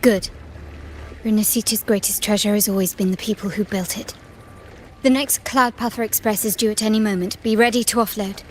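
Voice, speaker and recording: A woman's voice: mid-range voice, steady tone, fairly quick, a little hiss or hum, lightly acted. An actress playing a commanding young noblewoman in a video game, composed, polished and authoritative.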